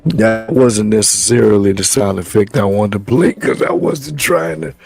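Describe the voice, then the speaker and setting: A man hosting a casual talk show, hoarse from the flu, speaking in a measured, rambling way. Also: fairly low pitch, faint music behind. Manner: measured, loose, repeats words as he talks. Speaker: show host.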